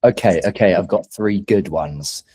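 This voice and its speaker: A man's voice: sits low, measured pace, dark tone, breathy edge. A man who is a singer-songwriter guest in a casual interview, speaking in a hesitant, thoughtful, self-deprecating way.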